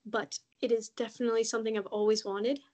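Chatty woman with a slightly high voice. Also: fast talker. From Mexico. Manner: relaxed, chatty, frequent ums and laughs. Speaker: podcast guest. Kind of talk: casual interview conversation.

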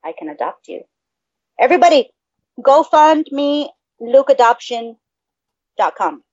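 A woman's voice: sits high, measured pace, breathy edge, dry recording. Texas border lady chatting on a podcast, laughs a lot, swears a lot, kinda muffled dry sound. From Texas border region, USA. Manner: giggly, swearing, excitable. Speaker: podcast co-host. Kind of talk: casual chat.

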